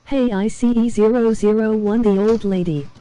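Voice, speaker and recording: A synthetic woman's voice that reads out viewers' chat messages in a flat way. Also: fairly high pitch, normal speed. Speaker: text-to-speech chat reader.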